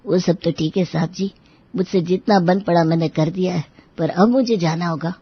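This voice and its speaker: A woman's voice: on the low side, normal speed, husky, no room sound, highs cut off, voice pushed down. A woman voicing a humble, apologetic older male caretaker in a cartoon story.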